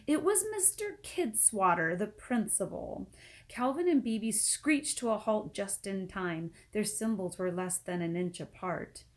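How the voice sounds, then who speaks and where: A woman reading a children's book aloud as an expressive storyteller. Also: medium pitch. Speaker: storybook reader.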